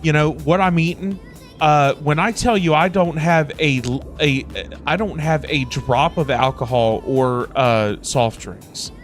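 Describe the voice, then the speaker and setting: Rambling male streamer with a higher-pitched voice. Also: measured pace. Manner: rambling, repeats phrases emphatically. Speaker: livestream host. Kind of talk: casual livestream chat. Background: music playing in the background.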